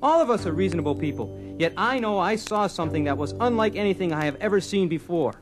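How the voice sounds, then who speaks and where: An earnest, obsessed husband in an audio drama. He is insistent and impassioned, with a high, very animated voice over faint music. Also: fast. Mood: indignant, insistent. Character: obsessed, earnest ordinary husband demanding answers. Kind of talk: 1970s dramatised story record acting.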